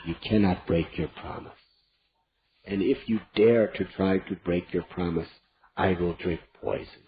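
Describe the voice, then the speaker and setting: A man voicing a stern, vengeful queen who speaks coldly and deliberately, on a dull lo-fi recording. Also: sits low, slight background noise, voice pushed down. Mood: cold threat. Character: cold, vengeful queen demanding her boons.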